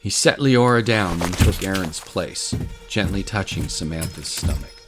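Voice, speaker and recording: A man's voice: sits low, expressive, moderate pace. A man narrating a scripted fantasy story in the manner of an audiobook. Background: prominent music.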